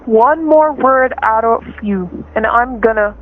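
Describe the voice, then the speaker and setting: Tinny old radio sound, fugitive gangster, menacing, bossy, jumpy, curt tough slang, crime drama. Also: male voice, very high-pitched, takes it slowly. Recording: narrow, tinny vintage radio recording.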